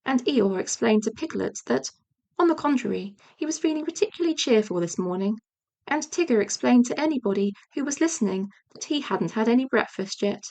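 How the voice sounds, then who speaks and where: High-pitched woman narrating a children's story. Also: expressive, a little fast, creaky, darkish tone. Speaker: audiobook narrator.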